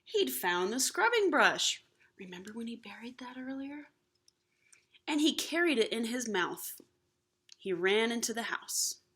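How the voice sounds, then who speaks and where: A woman reading a children's picture book aloud expressively, animated and slipping in hushed asides to the listeners. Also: a little high, unhurried, breathy edge. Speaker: storybook reader.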